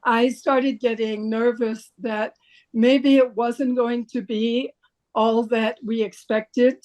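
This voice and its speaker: A woman's voice: fairly high pitch, level tone, slow, a little mellow. A woman from Belgium, a book club member, telling an earnest story on a video call. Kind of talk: video call group discussion. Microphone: computer mic on video call.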